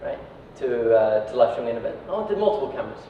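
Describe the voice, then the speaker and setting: A man's voice: mid-range voice, animated, quick pace, recorded from a distance. Man speaking in a very echoey room. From Iraq. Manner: conversational, peppers sentences with tag questions like right and yeah. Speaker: speaker giving a talk, company founder. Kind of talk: informal motivational talk to a live audience. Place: echoey indoor venue, recorded at a distance. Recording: echoey room from afar, dull, faint noise.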